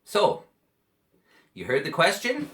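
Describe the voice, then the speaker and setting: A man from Canada, giving a video lesson to young pupils in slow, simple, friendly speech. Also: on the high side, wildly swooping pitch, bright, forceful tone. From Canada, British Columbia. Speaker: English teacher for children. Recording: roomy echo.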